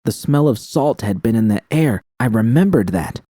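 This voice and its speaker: A man's voice: medium pitch, expressive, moderate pace, dark tone, no echo, clean studio sound. A man reading first-person fiction as an audiobook narrator, with dramatic storytelling delivery.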